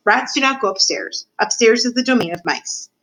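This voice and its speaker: A woman's voice: fairly high pitch, lively intonation, normal speed, a bit bright, voice pushed up, poor audio. A woman voicing a cynical, cruel older male rat who lectures theatrically in a storybook. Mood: scornful. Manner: theatrical, lecturing, emphatic with repetitions.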